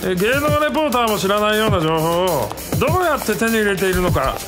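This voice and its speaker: A woman's voice: mid-range voice, very animated, quick pace, lightly acted. A woman playing an eager, probing entertainment reporter asking questions in a radio drama. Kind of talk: radio drama acting, press conference questions. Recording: music behind the voice.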